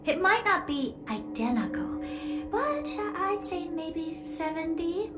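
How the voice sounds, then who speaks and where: A woman's voice: very high-pitched, very animated, takes it slowly, roomy sound, over music, exaggerated voice. A woman voicing an aloof, blunt, self-assured young-looking genius in a video game cutscene, haughty and nonchalant. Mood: blunt, matter-of-fact. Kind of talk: animated game cutscene dialogue.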